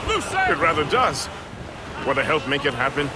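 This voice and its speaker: Jovial, wildly animated ship's first mate. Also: male voice, on the high side, normal speed. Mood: genial, earnest. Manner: hearty, flowery, old-fashioned phrasing. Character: jovial, gentlemanly male first mate of a sailing ship. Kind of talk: video game voice acting. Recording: loud wind and sea noise. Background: ship, waves and wind.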